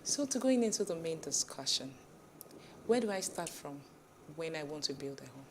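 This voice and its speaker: A woman's voice: sits low, expressive, normal speed, a little mellow, a little hiss or hum. Gracious woman hosting a TV talk show. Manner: formal and gracious, reads scripted intros. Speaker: host. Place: TV studio.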